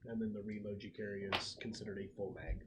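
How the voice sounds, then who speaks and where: A man's voice: on the low side, little pitch movement, fast, crowd noise. A man running a casual tabletop roleplaying game among friends as game master, explaining things informally with some banter.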